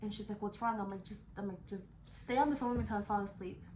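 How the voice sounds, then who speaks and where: A woman's voice: medium pitch, quick pace, darkish tone. Slangy young woman, echoey room. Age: 20s. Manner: slangy, switches between English and Spanish, swears casually. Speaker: vlogger telling a personal story. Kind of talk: casual chat storytelling. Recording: echoey room with background noise.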